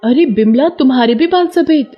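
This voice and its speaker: Curious storybook villager played by a woman, friendly, high and questioning, slightly muffled audio. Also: lively intonation, moderate pace, breathy edge, faint music behind. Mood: curious, surprised. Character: friendly, curious village women gossiping at the well.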